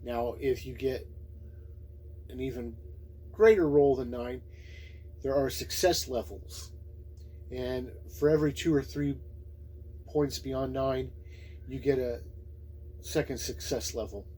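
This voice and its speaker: A man's voice: mid-range voice, slow pace, long pauses, dark tone, husky, poor audio. A man giving a casual, unscripted review in a folksy, plain-spoken, laid-back way. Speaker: tabletop game book reviewer.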